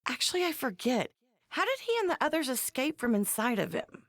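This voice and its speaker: A prim, doting mother from an animated game, speaks in a high and very melodic voice. She is fussy and emphatic, and the recording is close and dry. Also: brisk, no echo, exaggerated voice. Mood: matter-of-fact. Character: prim, doting mother, indignant about threats to her sons. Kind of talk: animated video game character dialogue.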